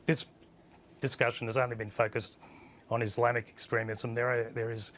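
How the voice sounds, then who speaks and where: A man with a husky voice, a guest on a TV panel, plain-spoken and hesitant with many fillers. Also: mid-range voice, flat delivery, normal speed, fairly bright tone. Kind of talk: live TV panel discussion. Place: remote studio link.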